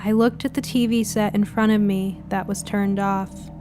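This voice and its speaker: A woman's voice: medium pitch, flat delivery, moderate pace, background noise. A woman reading a scripted first-person spooky short story as its narrator.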